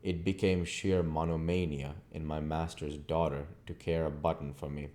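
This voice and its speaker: A man's voice: a bass voice, little pitch movement, normal speed, warm tone. A man reading a classic novel aloud as narrator, now and then stumbling over words and correcting himself. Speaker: audiobook reader.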